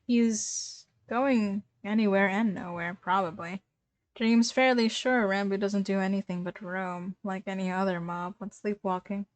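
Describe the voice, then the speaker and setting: Woman reading fanfic out loud, takes her time, giggly, dry close sound. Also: mid-range voice, steady tone, unhurried, dry recording. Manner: giggly, breaks off to react. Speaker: fan fiction reader. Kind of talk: informal group read-aloud of a story with reactions.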